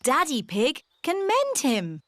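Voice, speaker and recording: A patient cartoon mummy pig who speaks gently to her children. Her voice is fairly high and moves widely up and down. A faint hiss sits under the voice. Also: wildly swooping pitch, slow pace, full character voice. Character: calm, kindly grown-up mummy pig.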